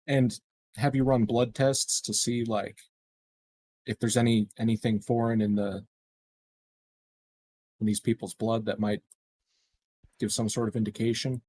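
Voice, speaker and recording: A man's voice: on the low side, flat delivery, unhurried, pauses often, a little mellow. A man playing a tabletop roleplaying game, talking casually and tentatively as he thinks aloud in character. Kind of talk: casual improvised roleplay talk.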